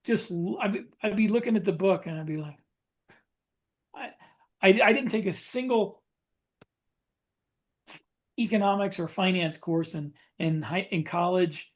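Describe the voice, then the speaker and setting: A man's voice: high voice, measured pace, long pauses. A middle-aged American man in casual conversation as a podcast co-host, plain-spoken, often restarting his sentences. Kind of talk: casual chat.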